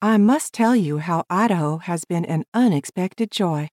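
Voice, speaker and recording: A woman's voice: on the low side, normal speed, darkish tone, no echo, studio quality, voice pushed up, natural voice. A woman voicing a polite, earnest young frontier lady who speaks formally.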